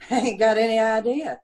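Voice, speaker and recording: A high-pitched, brusque apartment manager played by a woman in an old-time radio drama. She speaks in blunt, colloquial working-class phrases and is quick to get defensive. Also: steady tone, darkish tone, natural voice. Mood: offhand.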